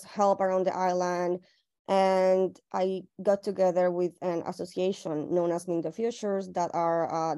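A woman's voice: on the low side, mellow, clear, resonant tone. A young woman from Puerto Rico, a medical student, answering interview questions with lots of fillers and restarts. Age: young adult. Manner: loose, many fillers and restarts.

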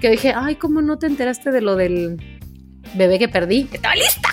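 A Mexican woman co-hosting a podcast, telling casual comic anecdotes in an animated way and acting out bits of dialogue. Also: a little high, normal speed, soft background music. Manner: animated, acts out imagined dialogue. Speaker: podcast co-host.

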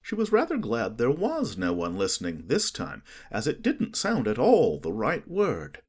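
Man, audiobook narrator, expressive, lively pitch, middle register. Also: measured pace, slightly rough. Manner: expressive, animated storytelling.

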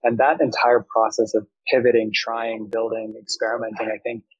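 Young man, founder guest, husky voice, flat delivery, dry muffled sound. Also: medium pitch, moderate pace, dry recording. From Thailand. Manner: fluent, reflective, business jargon. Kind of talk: interview answers.

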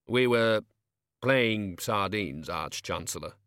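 A man's voice: lowish voice, expressive, slow pace, fairly bright tone, clean tone, no echo, studio quality. A man voicing a patient, pedantic male Dean of wizards who explains precisely, in an audiobook. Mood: sheepish. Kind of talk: audiobook character acting.

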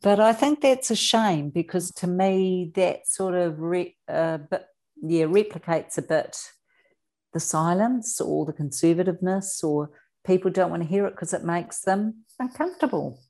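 A woman with a New Zealand accent speaking earnestly as an interview guest, deliberate and emphatic, repeating words for weight. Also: on the low side, mellow. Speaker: interview guest, author and abuse survivor advocate.

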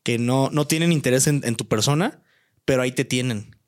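A young man with a Mexican accent chats as a podcast co-host. His voice sits at a middle pitch. He speaks informally, using everyday slang. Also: fairly quick. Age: young adult. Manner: slangy, colloquial. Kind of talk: casual chat.

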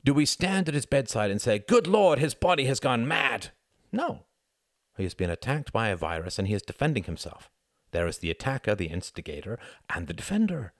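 An earnest young working-class man from a storybook, acted out by a male narrator. He speaks with swooping, animated pitch and a sardonic, passionate edge. His delivery is emphatic and rhetorical, in a dry, close sound. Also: brisk, dry recording. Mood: impassioned argument.

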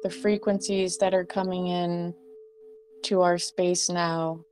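Slow, low-pitched woman guiding a meditation. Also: little pitch movement. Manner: gentle instructional, pausing between short phrases. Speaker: guided meditation leader. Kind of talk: guided meditation. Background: Tibetan singing bowl music.

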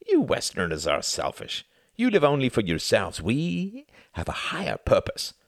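A man's voice: mid-range voice, wildly swooping pitch, normal speed, creaky, dry recording, studio quality, voice pushed up, exaggerated voice. A man voicing an ecstatic German drinker who sermonizes about submission. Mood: rapturous. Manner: rapturous, sermonizing.

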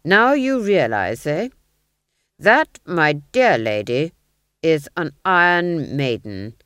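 A woman's voice: low voice, big pitch swings, takes it slowly, fairly bright tone, clean tone, no room sound, full character voice. A grandiose, unhinged nobleman villain from a storybook, performed by a woman. He gloats theatrically with a wide, swooping delivery and a twitchy edge. The sound is dry and close. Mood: gloating, pompous. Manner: grandiose, theatrical, archaic phrasing.

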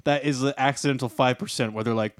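A man's voice: medium pitch, steady tone, fast, dry recording, clean studio sound. A man co-hosting a casual podcast chat, playful and teasing, arguing his points with a grin. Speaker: podcast co-host.

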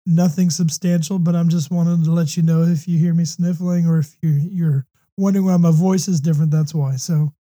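A hoarse, slightly congested man from the United States hosting a faith talk show, speaking earnestly with many ums and restarts. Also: on the high side, fairly even intonation, fairly quick, mellow. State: hoarse with a runny nose. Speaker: podcast host.

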